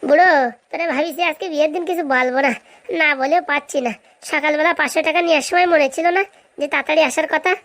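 Performer as quarrelsome cartoon villager, high-pitched, extremely fast, scolding, combative, dry close sound. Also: steady tone, fairly bright tone, no room sound. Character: bossy, scolding, hot-tempered cartoon villagers, quick to quarrel. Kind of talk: comic cartoon dialogue.

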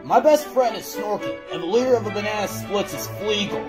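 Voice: medium pitch, lively intonation, a little fast, over loud music, natural voice. An actor voicing a friendly, chatty member of a cartoon band.

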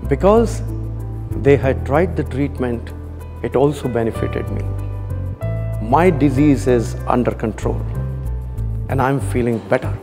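A man's voice: mid-range voice, a little slow, long pauses. Older man over music. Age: 60s. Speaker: patient giving a testimonial. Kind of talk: testimonial to camera. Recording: music under the voice.

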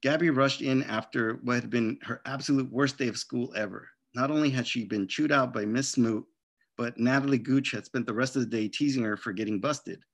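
Man, middle-aged, medium pitch, children's author, expressive reading, casual speech, video call. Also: fairly quick. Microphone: video call microphone.

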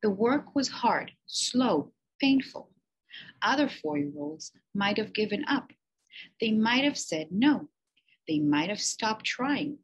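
A teacher reading a children's picture book aloud, slow and expressive with emphatic pauses. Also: female voice, a little high, breathy edge, lo-fi sound.

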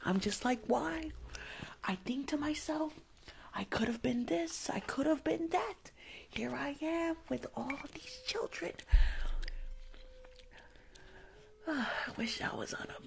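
A young woman doing a whispered ASMR roleplay as a rude school nurse, blunt and grumpy, speaking in a breathy whisper close to the mic. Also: high-pitched, lively intonation, takes it slowly, pauses often. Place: small indoor room.

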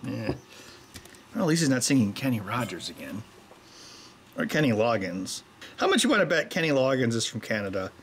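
A middle-aged man talks through his soldering at a workbench, casual and chatty. He keeps slipping into joking tangents. His voice sits at an ordinary mid pitch, with a faint hum of room ambience behind it. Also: sing-song, fairly quick, long pauses, fairly bright tone. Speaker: electronics maker and show host. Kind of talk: casual explanation while working.

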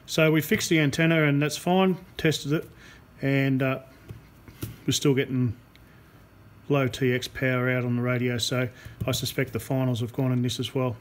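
A man explaining a hands-on radio repair as a technician, unscripted, with a halting delivery and long pauses. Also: medium pitch, steady tone. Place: indoor workbench in a repair workshop. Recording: clear background noise, workshop ambience. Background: workshop room noise.

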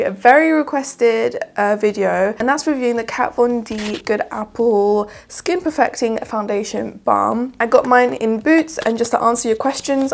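Chatty young woman with a croaky morning voice. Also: a little high. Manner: chatty, rambling, addresses viewers as guys. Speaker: beauty vlogger. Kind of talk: casual makeup review talking to camera. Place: plain indoor room with plants.

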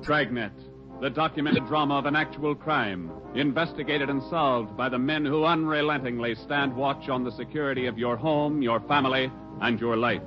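A man's voice: mid-range voice, moderate pace, lightly acted. A man with an American accent reading a formal, scripted introduction in mid-century radio style. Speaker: radio announcer. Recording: dull old radio recording. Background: dramatic music.

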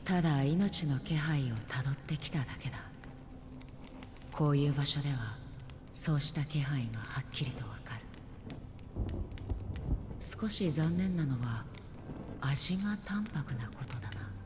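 An actress playing a calm, melancholy, detached wandering woman in a Japanese video game, solemn and softly breathy at phrase ends. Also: sits low, unhurried, long pauses, background noise.

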